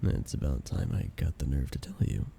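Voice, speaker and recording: Man acting as a dark, husky, lovestruck best friend. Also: very deep voice, wildly swooping pitch, full character voice. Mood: nervous, hesitant. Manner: hesitant, halting, tender. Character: shy young man confessing love to his best friend. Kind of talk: intimate ASMR romance roleplay.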